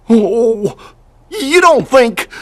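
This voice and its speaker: An actor voicing a loyal, anxious animal sidekick in a cartoon video game, with a husky voice and fretful manner. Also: medium pitch, a bit bright, heavily acted. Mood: worried.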